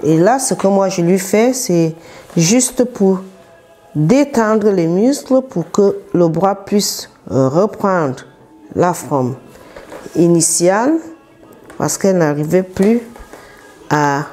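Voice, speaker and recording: A woman from southern Cameroon with a Cameroonian accent, a therapist explaining her work in an unscripted interview, in halting phrases with pauses. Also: on the low side, expressive, mellow, faint music behind. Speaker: massage therapist and business owner, interview guest.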